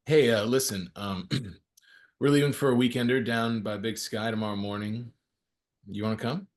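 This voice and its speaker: A man's voice: lowish voice, steady tone, normal speed, close to an everyday voice. A man playing a laid-back, friendly young ski guy in a screenplay table read, casual and teasing. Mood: warm, casual. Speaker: actor at a script table read. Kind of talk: casual naturalistic screenplay reading.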